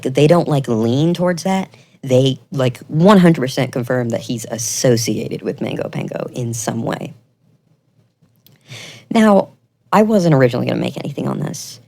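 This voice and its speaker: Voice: medium pitch, darkish tone. Emphatic commentator with a mid-range voice. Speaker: video creator, commentator. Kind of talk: commentary video, semi-scripted narration.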